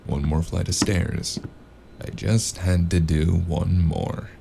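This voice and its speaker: A man playing a weary, sardonic hard-boiled investigator, narrating a noir audio drama in a gravelly, deadpan way. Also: low-pitched, slow, heavy background noise, heavily acted. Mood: brooding, wry. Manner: deadpan, dry, brooding.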